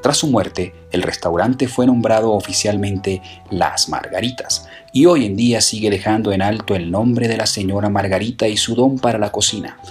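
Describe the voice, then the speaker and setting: A man narrating a short documentary in an even, read-aloud manner. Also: fairly low pitch, moderate pace, few pauses, soft background music. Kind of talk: scripted narration.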